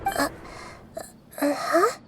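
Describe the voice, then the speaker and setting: A woman playing a gentle, wise young girl deity in a video game, speaking in a measured, earnest way. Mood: hesitant. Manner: measured, earnest, formal phrasing.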